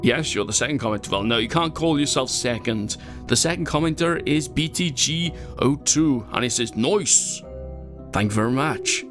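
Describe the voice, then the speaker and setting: A man's voice: mid-range voice, expressive, moderate pace, fairly bright tone, faint music behind. A Welsh man hosting a game video, chatting casually and poking fun at himself as he plays. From Wales. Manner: chatty and self-mocking, rambling asides, frequent laughs. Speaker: gaming channel host. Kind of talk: casual gameplay commentary.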